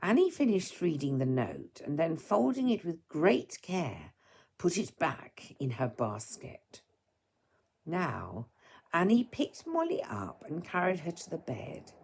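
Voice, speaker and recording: A tired woman with a hoarse, dry throat, speaking to listeners in a halting, politely formal way as a storyteller. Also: low-pitched, huge pitch swings, darkish tone. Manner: halting, apologetic, formal wording. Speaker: storyteller reading aloud and singing. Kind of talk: informal apologetic talk to listeners.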